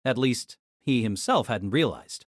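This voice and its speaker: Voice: mid-range voice, lively intonation, a little slow, no echo, studio quality. A narrator reading scripted third-person story narration for an audio drama.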